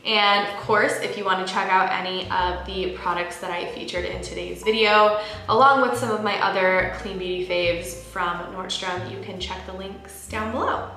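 Bright-voiced young woman in an echoey room. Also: medium pitch, steady tone, moderate pace, soft background music. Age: 20s. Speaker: lifestyle vlogger. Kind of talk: casual talk to camera, sponsor thanks.

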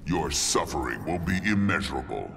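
An actor playing a merciless ancient alien god-conqueror in a video game, gravelly and booming, with slow imperious menace. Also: male voice, big pitch swings, faint music behind, heavily acted. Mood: cold command. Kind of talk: video game villain acting. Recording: cavernous reverb, loud game sound behind.

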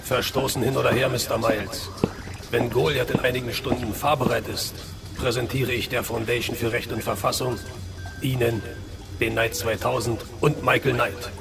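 A man playing a bitter, gloating villain in a radio drama, deliberate and taunting. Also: mid-range voice, flat delivery, vocal fry, music in the background, lightly acted. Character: bitter, gloating villain, vengeful disowned son.

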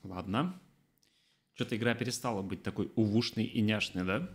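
A man's voice: lowish voice, moderate pace. A man in his thirties streaming a game, joking with chat and reading the subtitles aloud. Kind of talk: casual let's play commentary. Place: small room at home. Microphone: desk mic, webcam framing. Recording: game music playing behind. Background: game music.

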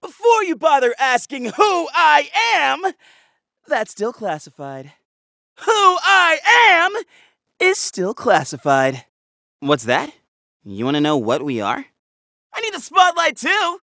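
A very high, bright, shouting voice that is lively and a little gravelly. It belongs to a flashy young android superhero in a game, bragging and hurling battle cries at a measured pace. The sound is dry and close. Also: male voice, no room sound, heavily acted. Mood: boastful. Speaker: voice actor. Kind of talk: video game voice clips.

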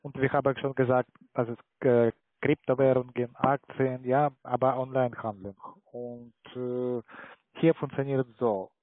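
A man with a foreign accent speaking non-native German as a call centre salesman, pitching haltingly and tagging sentences with "ja". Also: steady tone, no room sound. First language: not German. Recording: tinny narrow phone line.

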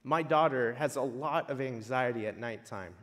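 A man preaching a sermon in a measured way, leaning on emphatic repetition. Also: mid-range voice, fairly bright tone. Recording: long reverberant hall echo.

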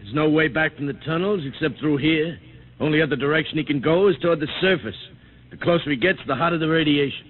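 An actor in a 1950s radio drama playing a dutiful, matter-of-fact checkpoint sentry with a brisk, official manner. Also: male voice, a little high, lightly acted. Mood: businesslike. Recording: tinny, hissy old radio recording.

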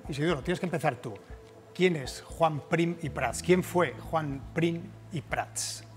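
A man's voice: on the high side, measured pace, soft background music. A man in his forties hosting a studio discussion, asking questions and steering the conversation. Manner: leading questions, conversational interjections. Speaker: TV host.

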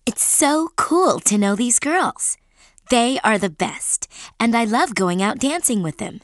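A woman's voice: a little high, lively intonation, a little slow, no echo, studio quality. A woman playing a breezy, self-assured teenage girl with an American accent in a video game. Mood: confident, cheerful. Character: confident, cool, loyal teenage New York girl model. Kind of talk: scripted game character lines.

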